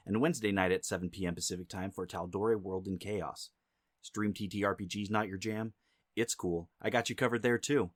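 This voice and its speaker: A man with a fairly low voice delivers a playful podcast promo. He sounds conversational though scripted, recorded close up with almost no room sound. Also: no echo. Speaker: podcast host. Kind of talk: scripted promo read, jokey. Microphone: close dry microphone.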